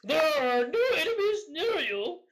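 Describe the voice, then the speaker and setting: A woman's voice: high-pitched, big pitch swings, measured pace, noisy background. A woman co-host reading gamer forum posts aloud for comedy, casual and prone to giggling.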